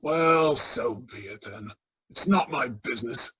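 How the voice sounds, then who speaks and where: A man's voice: mid-range voice, a little slow, bright, forceful tone, slightly rough, exaggerated voice. An actor playing a bitter, miserly old businessman in a radio play, curt, scornful and grumbling. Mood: cold, irritable.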